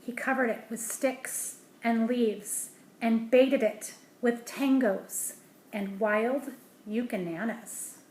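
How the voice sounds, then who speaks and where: Slow, gentle woman reading aloud. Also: a little high. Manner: gentle hushed asides about the pictures between livelier read lines. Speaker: storybook reader. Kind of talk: reading a picture book aloud to children. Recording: roomy echo, faint background hiss.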